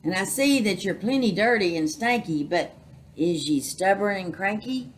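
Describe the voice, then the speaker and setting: Gruff, demanding male captain performed by a woman, storybook style, blustering dialect, some background noise. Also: medium pitch, lively intonation, normal speed, lightly acted. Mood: sizing up. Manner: bellowing, salty pirate dialect.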